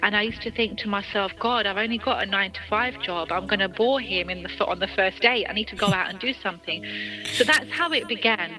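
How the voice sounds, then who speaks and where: A woman's voice: medium pitch, quick pace, sharp tone, rough recording. A woman from the UK, a singer, talking casually about her music in an interview, with many restarts and frequent laughs. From United Kingdom. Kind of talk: phone interview, casual chat.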